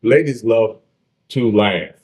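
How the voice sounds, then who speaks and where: A man from the eastern shore of Maryland with a deep voice, a comedian telling jokes and stories in a casual interview. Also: takes it slowly, darkish tone, husky. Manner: joking, laid-back storytelling.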